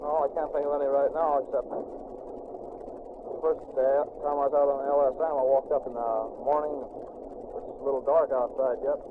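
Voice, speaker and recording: Brief: young Montana Marine, plain and modest, tinny hissy 1940s radio from a ship. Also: male voice, medium pitch, level tone, moderate pace. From Montana, USA. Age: young adult. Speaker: Marine interviewee. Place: aboard a navy landing ship.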